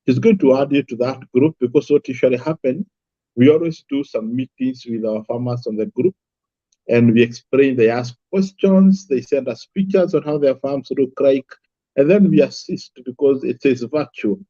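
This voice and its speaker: A man's voice: mid-range voice, moderate pace, mellow, no room sound, lo-fi sound. A man from Kenya, a guest from an apple farm, talking easily and repeating his words. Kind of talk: casual interview talk.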